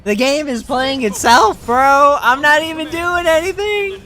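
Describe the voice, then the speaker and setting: A game streamer doing casual, excitable live commentary in slangy talk peppered with bro and dude. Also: high voice, a little slow.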